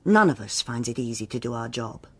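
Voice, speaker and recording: An actress playing a stern, self-assured senior policewoman with a British accent, speaking in a measured, formal and authoritative way in a radio drama. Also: rumbling low, expressive, slightly rough, full character voice. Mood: indignant, firm. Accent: British English.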